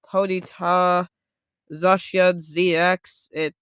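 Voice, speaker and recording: A man with a high, smooth voice gives a casual, off-the-cuff tutorial. He talks loudly and wanders, often correcting himself. The sound is close and dry but dull and lo-fi. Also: flat delivery, drawn-out words, dry recording. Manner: loud, rambling, self-correcting. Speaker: host of a card game tutorial video. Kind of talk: casual unscripted how-to explanation.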